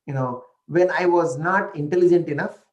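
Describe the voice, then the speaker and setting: A man's voice: a little high, moderate pace, muffled recording. Indian-accented man, chatty storyteller. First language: an Indian language. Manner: frequent "right?" and "you know" check-ins, animated when telling stories. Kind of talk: casual storytelling talk.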